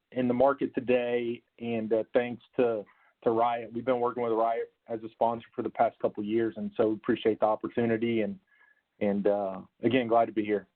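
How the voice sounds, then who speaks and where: Business-like man on a tinny call. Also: mid-range voice. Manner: polite, business-like, with many "uh"s and repeats. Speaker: corporate sales lead. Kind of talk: virtual panel introduction. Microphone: video call. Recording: phone-like call audio.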